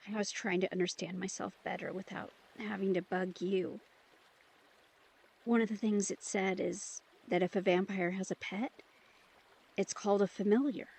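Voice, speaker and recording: A woman playing a soft-hearted, pleading young vampire in an audio drama, speaking haltingly and emotionally. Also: medium pitch, vocal fry, slightly rough. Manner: halting, emotional, breaks sentences off. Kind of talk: immersive audio drama acting.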